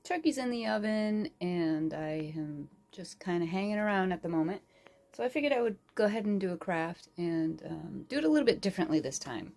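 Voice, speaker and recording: A woman with a sore, hoarse throat, casually talking through a craft tutorial in a small bedroom craft corner, rambling with lots of fillers. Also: lowish voice, lively intonation, brisk, a little mellow. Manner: rambling and chatty, with frequent fillers. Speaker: crafting channel host. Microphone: phone camera.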